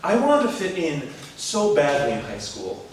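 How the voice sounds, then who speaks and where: A man's voice: fairly high pitch, huge pitch swings, moderate pace, a little hiss or hum. A man performing a reflective spoken monologue in an earnest storytelling manner. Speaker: performer and music educator. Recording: echoey room, mic far off, faint music.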